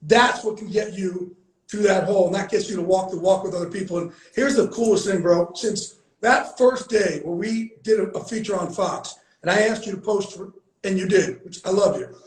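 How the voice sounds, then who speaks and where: High-voiced emphatic man, echoey room. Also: normal speed. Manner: emphatic, swears freely, repeats for emphasis. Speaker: host. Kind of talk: casual heartfelt conversation.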